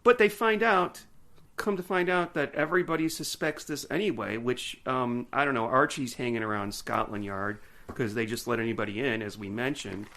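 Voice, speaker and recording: Wry, bright-voiced male podcast co-host. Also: mid-range voice, moderate pace. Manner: wry, rambling, dry jokes. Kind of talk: casual chat about a TV episode.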